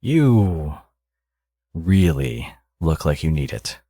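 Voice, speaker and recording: A man playing a smug, teasing supervillain and doting father in an intimate ASMR audio roleplay, with sardonic, drawling threats and a husky voice. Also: very deep voice, very animated, slow pace, mellow, dry recording, clean studio sound, full character voice.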